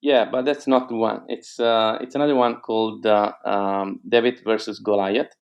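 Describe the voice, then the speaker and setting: A man's voice: lowish voice, fairly even intonation, normal speed, vocal fry, dull audio. A man whose first language is Italian, speaking with an Italian accent in a casual interview, hesitant with many fillers and restarts. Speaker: guest entrepreneur.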